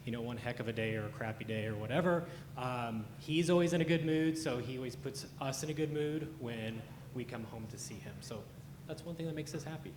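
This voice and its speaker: A man casually sharing a personal story at a staff introduction, relaxed and easygoing. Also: mid-range voice. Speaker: staff member. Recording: echoey conference hall with noise.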